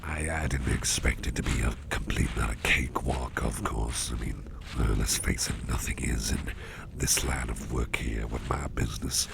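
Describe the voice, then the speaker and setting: A man voicing a mischievous boss with sharp teeth in an ASMR roleplay, talking in a soft, teasing half-whisper. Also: extremely low pitch, moderate pace, gravelly, background noise, heavily acted. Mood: confiding.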